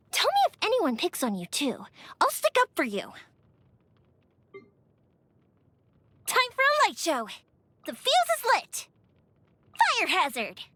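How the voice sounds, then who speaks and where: A woman playing a cheerful, energetic young fireworks maker in a video game, bubbly, playful and expressive in her delivery. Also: very high voice, dry recording, exaggerated voice. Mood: excited, bold. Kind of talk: animated video game character voice lines. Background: music.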